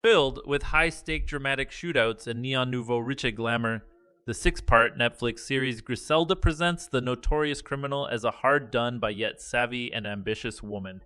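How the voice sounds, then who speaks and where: A man reading a scripted news report in a measured, even delivery. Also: medium pitch, smooth, no room sound. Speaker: news narrator.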